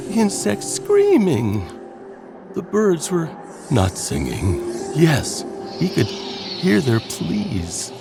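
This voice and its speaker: Slow, expressive male storyteller over music. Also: fairly low pitch, creaky. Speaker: narrator. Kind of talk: scripted horror audio drama narration, storytelling.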